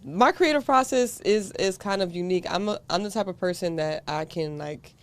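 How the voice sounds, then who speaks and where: A woman music producer and teaching artist, talking in a relaxed, confident way with many fillers. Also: lowish voice, normal speed, clean tone. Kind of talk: casual interview chat.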